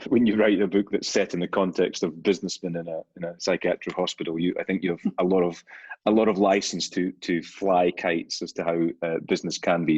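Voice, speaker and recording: Scottish man, middle-aged, very deep husky voice, halting wry guest, muffled dry audio. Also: no echo. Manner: hesitant, many restarts and fillers, wry humor. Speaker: guest author. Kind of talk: casual interview chat.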